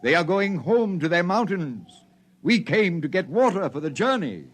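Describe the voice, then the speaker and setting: An actor playing an old, solemn male Martian elder who speaks slowly in a preaching, scriptural way, in 1950s radio drama style. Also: high voice, very animated. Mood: grave.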